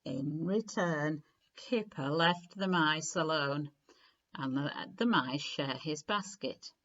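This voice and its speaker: A woman reading a children's storybook aloud in an expressive, deliberate way, with clear phrasing and dramatic emphasis. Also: low voice, muffled recording. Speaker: story reader.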